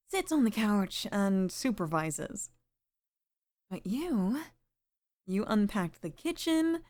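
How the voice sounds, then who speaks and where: Slow, soft-spoken, teasing middle-aged mom, acted by a woman. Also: medium pitch. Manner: soft, gently flirtatious, with sighs and pauses. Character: sweet, teasing middle-aged single mother, affectionate and a little lonely. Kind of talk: intimate ASMR roleplay monologue.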